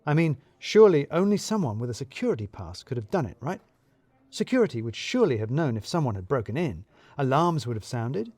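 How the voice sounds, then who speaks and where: A man's voice: mid-range voice, sing-song, fairly quick, darkish tone, no echo. A man narrating a scripted first-person audio drama in a wry, conversational, confiding way.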